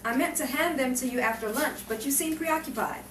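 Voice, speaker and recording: Woman acting concerned office friend, high-pitched, echoey. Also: a little fast, fairly bright tone. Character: concerned, friendly female bank co-worker. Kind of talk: 1950s melodrama acting. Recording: echoey, distant, faintly noisy room recording.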